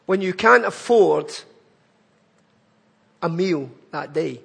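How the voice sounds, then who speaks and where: A man's voice: high voice, expressive, slow pace, creaky, fairly bright tone, breathy edge, in a large hall. A man with a Glaswegian accent giving an earnest, pleading charity appeal in church. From Scotland. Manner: earnest, pleading, building to emphatic lists.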